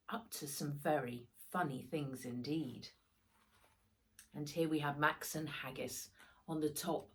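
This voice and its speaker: A woman author reading her own children's story aloud as expressive narration, with dramatic delivery of the sound words. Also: low-pitched, a little hiss or hum.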